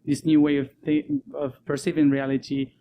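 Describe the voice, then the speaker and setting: Soft-spoken man. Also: fairly high pitch, little pitch movement, slight background noise. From Argentina. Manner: soft-spoken, laughs often, many um and uh pauses. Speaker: guest. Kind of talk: casual interview talk.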